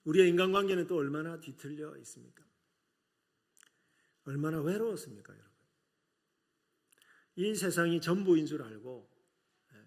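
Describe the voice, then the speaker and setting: A middle-aged man with a regional Korean accent preaching a sermon to a congregation, earnest, with rhetorical questions. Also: on the high side. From South Korea, outside Seoul. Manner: earnest, rhetorical questions, frequent filler "yes". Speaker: preacher, seminary professor.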